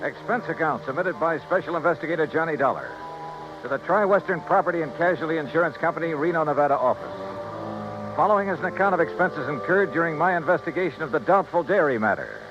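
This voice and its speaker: An old muffled radio recording with music behind it carries an actor as a wisecracking American male investigator. His delivery is brisk and theatrical, with pitch that swings freely. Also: lively intonation, lightly acted. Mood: brisk, matter-of-fact. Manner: breezy, wisecracking. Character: wry, brisk freelance insurance investigator, a man. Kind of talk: 1950s radio detective acting and narration.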